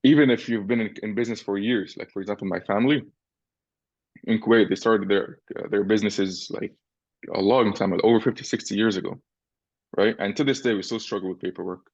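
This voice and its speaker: A man's voice: on the low side, steady tone, a little fast, no room sound, highs cut off. A young man from Syria, a podcast guest and entrepreneur, explaining things step by step in a casual interview and often checking in with "right?".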